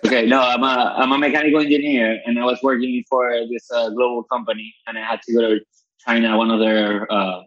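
A Venezuelan man in his thirties talks casually as a podcast guest, swearing freely and stopping to restart his sentences. His voice sits at an ordinary pitch for a man. The audio is muffled and lo-fi, as if coming over a weak internet call. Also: level tone, a little fast, a bit bright. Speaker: guest comedian.

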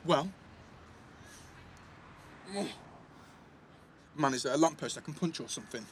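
A man's voice: a little high, very fast, slight background noise, close to an everyday voice. A young man playing a defensive, sweary teenage schoolboy in a naturalistic audio drama, with an East Midlands English accent and a blunt, slangy delivery. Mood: indignant. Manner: blunt, slangy, full of tag questions.

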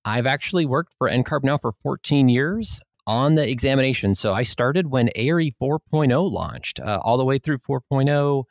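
A man's voice: mid-range voice, expressive, normal speed, vocal fry, hardly any pauses, dry recording. A man with a Minnesota accent, an exam executive explaining his work in an easygoing way as a podcast guest. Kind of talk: podcast interview.

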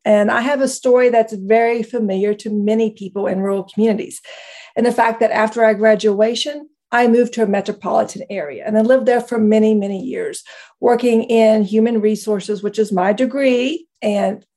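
A woman's voice: a little high, fairly even intonation, normal speed, warm tone. A woman with an Appalachian accent, a co-founder guest on a podcast, telling her story in an enthusiastic, talkative way. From rural Appalachia, West Virginia. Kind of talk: conversational interview answer.

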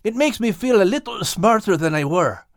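A man's voice: high-pitched, animated, moderate pace, no echo, studio quality, voice pushed up. A man voicing a plucky, kindly animal adventurer with a Scottish accent and a homely, earnest manner. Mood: grateful, thoughtful.

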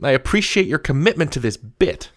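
A man's voice: on the high side, big pitch swings, fairly quick, fairly bright tone. A man performing scripted comic banter in an exasperated, dramatic way.

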